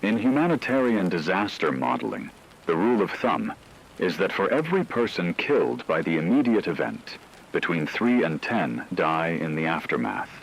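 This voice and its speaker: A man playing a grave radio anchor who delivers scripted news of a global catastrophe in a solemn, measured, formal way. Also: low-pitched, big pitch swings, exaggerated voice, low-quality recording. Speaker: emergency broadcast announcer.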